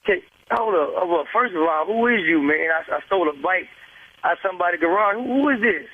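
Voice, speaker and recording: A man's voice: high voice, lively intonation, brisk. A man in a heated phone argument, blunt and indignant, repeating himself over a muffled, noisy line. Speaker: prank call victim.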